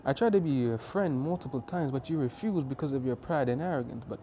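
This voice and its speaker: A man's voice: mid-range voice, lively intonation, fast, warm tone, slight background noise, lightly acted. A man voicing a confident, cocky teenage boy hero in a smug, defiant way for a told story.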